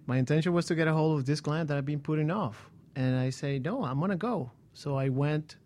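Halting man on motivational talk radio. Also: a little high, darkish tone. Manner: halting, many "um" and restarts. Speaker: co-host. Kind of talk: motivational talk show chat.

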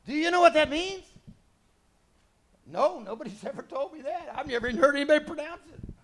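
A middle-aged man preaching a sermon in a conversational way, dropping to quiet asides and rising to loud, emphatic points. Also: extremely high pitch, expressive, fairly quick, a crowd in the background. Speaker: pastor.

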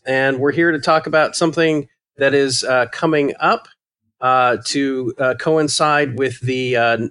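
A man hosting a casual podcast chat, speaking haltingly with many "uh" fillers. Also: mid-range voice, a bit bright. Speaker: podcast host, society committee member.

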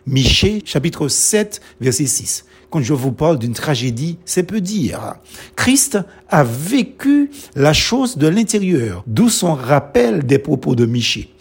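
A man whose first language is a Creole, preaching a sermon in short, measured, emphatic phrases. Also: fairly high pitch, very animated, vocal fry, husky.

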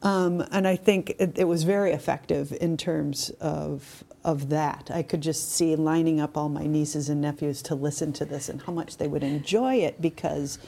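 A woman's voice: low voice, steady tone, moderate pace, creaky, few pauses, dark tone. A woman co-hosting a podcast, chatting casually in an affirming, explanatory way. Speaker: podcast co-host. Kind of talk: casual conversational discussion.

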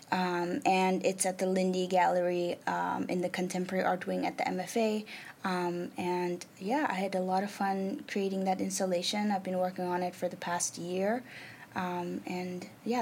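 A young woman from Nepal, a native Nepali speaker, answering interview questions about her art in a thoughtful way, with many ums and pauses. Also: medium pitch, vocal fry, a little mellow. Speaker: artist and arts program manager. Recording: faint background noise.

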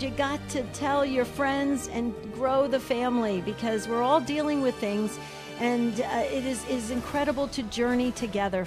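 A woman with a New Jersey accent hosting a call-in radio show, talking briskly and upbeat as she hurries through questions and announcements. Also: on the high side.

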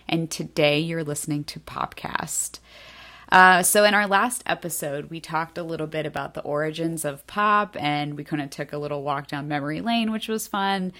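Low-voiced congested woman podcaster. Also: normal speed, sharp tone. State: congested from a cold. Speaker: podcast co-host and journal editor. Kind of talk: casual podcast chat.

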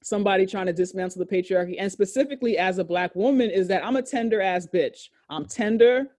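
Deep-voiced woman, blunt and emphatic. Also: fast, a little mellow, dry recording, studio quality. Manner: emphatic, profane, stretches out key phrases. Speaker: podcast co-host. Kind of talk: candid casual discussion.

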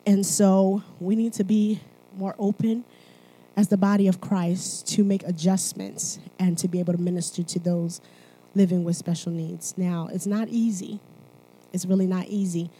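A woman's voice: on the low side, flat delivery, moderate pace, warm tone, rough recording. A woman from Haiti speaking at church, mixing sermon and personal testimony in a conversational, emphatic manner, often checking in with listeners.

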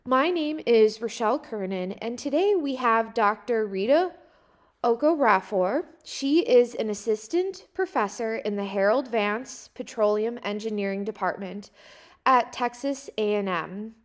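Woman podcast host with a higher-pitched voice. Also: expressive, measured pace. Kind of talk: friendly scripted interview questions.

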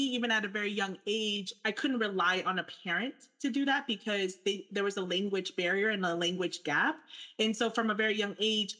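Woman, young adult, bright clear tone, earnest, candid, conversational panel talk. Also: mid-range voice. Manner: earnest, candid, talks through thoughts with fillers. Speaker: panel speaker. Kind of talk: personal reflection in a panel discussion.

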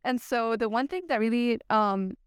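A woman's voice: on the high side, normal speed, clear, resonant tone, no echo, clean studio sound. A young American woman who is a student guest on a podcast, talking in an articulate and earnest way. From United States. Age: young adult. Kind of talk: podcast guest chat and poetry reading.

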